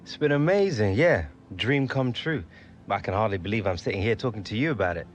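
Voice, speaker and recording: A man's voice: lowish voice, expressive, fairly quick, soft background music, lightly acted. A young man with a British accent playing a modest, eager footballer in a video game, casual and earnest. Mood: modest, excited. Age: young adult. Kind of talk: video game cutscene acting.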